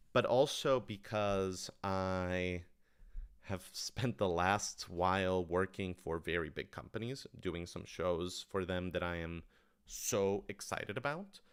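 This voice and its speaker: Man, very low pitch, smooth resonant tone, casual Q&A talk, thinking aloud, enthusiastic. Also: a bit bright. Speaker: writer-director of audio dramas answering questions.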